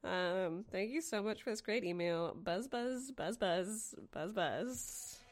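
A woman's voice: medium pitch, slow, noisy background. A woman hosting a podcast, responding casually to listener mail with playful, effusive emphasis. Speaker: podcast host.

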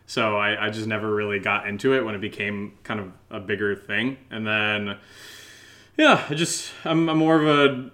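Rambling, halting man in casual chat. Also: on the low side, fairly even intonation, bright, forceful tone. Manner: rambling, halting, often starting sentences over. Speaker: podcast co-host, audio drama writer.

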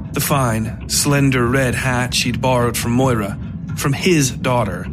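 A man with a mid-pitched voice narrates dark fiction from a script in a deliberate, descriptive manner. Clear music plays underneath the reading. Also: poor audio. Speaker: audiobook-style narrator.

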